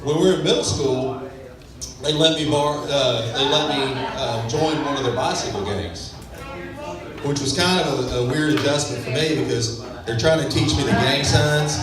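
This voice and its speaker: A man's voice: mid-range voice, moderate pace. A man from Texas with a West Texas accent, doing a self-deprecating, laid-back stand-up comedy set on a club stage. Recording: echoey club sound from far off with a crowd.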